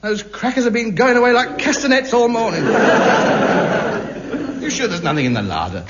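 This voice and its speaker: A man's voice: sing-song, normal speed. An actor with a British accent playing a pompous, easily outraged man in a 1950s radio sitcom. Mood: self-pitying. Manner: pompous, indignant, theatrical swings in pitch. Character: pompous hungry self-important man, indignant. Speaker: lead comic actor. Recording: muffled hissy 1950s radio broadcast.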